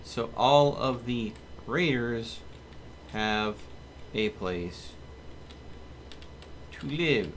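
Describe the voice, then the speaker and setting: A man giving casual live commentary as he plays a game, thinking aloud in an unhurried way. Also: medium pitch, animated. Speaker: game streamer. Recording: clear background noise.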